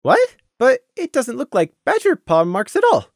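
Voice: high voice, moderate pace, no room sound, clean studio sound, voice pushed up, heavily acted. A narrator voicing an eager, clumsy young earth pony recruit who protests weakly. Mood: protesting. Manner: excited, then sheepish and protesting.

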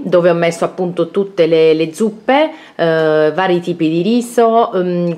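Chatty woman showing her pantry. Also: lowish voice. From Italy. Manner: chatty, hesitant with fillers. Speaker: vlogger. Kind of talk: casual home tour narration. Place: home kitchen pantry, indoors.

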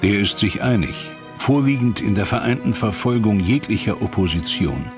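A deep-voiced man narrates a historical documentary. His scripted delivery is formal and measured with a dramatic edge, and music runs clearly behind him. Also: expressive.

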